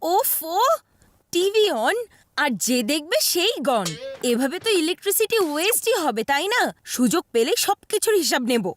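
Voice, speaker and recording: A woman's voice: very high voice, very animated, moderate pace. A woman voicing a scheming, gleeful cartoon child who plots aloud in an exaggerated way. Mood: sly, scheming. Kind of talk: animated cartoon dub.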